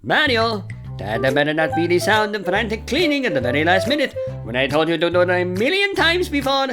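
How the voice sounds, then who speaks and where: A woman's voice: medium pitch, wildly swooping pitch, brisk, music in the background. A woman voicing an exasperated, responsible younger brother who scolds earnestly but cares, in a cartoon-style audio play. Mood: scolding, suspicious.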